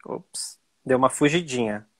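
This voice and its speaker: A man's voice: mid-range voice, lively intonation, unhurried. Casual man hosting a live stream. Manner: talks straight to the viewers, informal. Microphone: video call.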